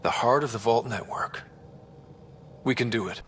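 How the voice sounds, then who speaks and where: A man's voice: mid-range voice, normal speed, heavy background noise, close to an everyday voice. A man playing an earnest young leader of space explorers in a video game, casual yet resolute. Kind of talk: video game cutscene acting.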